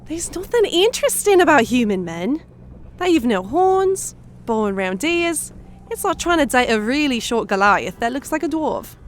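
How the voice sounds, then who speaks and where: A woman's voice: high voice, sing-song, normal speed, slight background noise. A woman playing a feisty, indignant fantasy woman who complains emphatically in an audio drama. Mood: defiant. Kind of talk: audio drama character acting.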